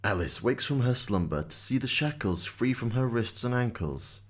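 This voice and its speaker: A man's voice: mid-range voice, lively intonation, normal speed, crowd noise. A man reading scripted narration as the storyteller of a radio drama.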